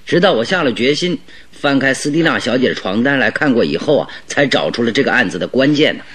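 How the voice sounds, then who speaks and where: A man playing a shrewd, composed master detective in a radio drama, explaining with deliberate authority. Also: mid-range voice, animated, a bit bright, breathy edge. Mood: explaining, assured.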